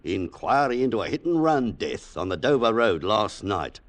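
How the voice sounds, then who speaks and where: An actor playing a polite but firm police inspector, formal and measured, in 1940s radio style. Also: male voice, lowish voice, big pitch swings. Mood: officious. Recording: 1940s radio drama broadcast.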